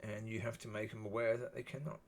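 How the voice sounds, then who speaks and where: A man with a husky voice, talking alone in a rambling, musing way and often saying "yeah". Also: fairly low pitch, little pitch movement, quick pace. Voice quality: husky, gravelly. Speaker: solo video talker. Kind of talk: rambling solo monologue.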